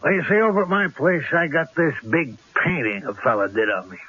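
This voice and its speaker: An actor playing a cocky, menacing gangster in a radio drama, with tough, slangy street talk and a rough edge. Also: male voice, fairly high pitch, big pitch swings, fairly quick, bright, forceful tone, heavily acted. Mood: gloating. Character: cocky, menacing gangster killer holding a couple at gunpoint. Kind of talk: 1970s radio drama acting. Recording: dull, lo-fi old radio broadcast.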